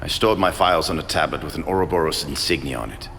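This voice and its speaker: A middle-aged man acting a remorseful, cautious scientist in a video game, grave and measured, with a gravelly voice. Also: fairly bright tone, long reverb tail, slight background noise, exaggerated voice. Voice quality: deep, gravelly.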